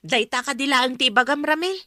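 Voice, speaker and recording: A woman's voice: sits high, fairly quick, bright, forceful tone. A woman with an Ilocano Filipino accent acting a weary, regretful mother in a radio drama, pleading and emotional. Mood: defiant, upset. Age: adult. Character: Cora, weary regretful mother who left her children.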